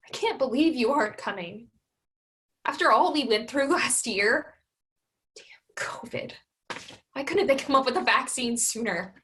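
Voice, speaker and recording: Woman acting a high-voiced, frustrated grown daughter. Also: animated, unhurried, pauses often, fairly bright tone. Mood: hurt. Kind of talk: virtual staged reading of a play.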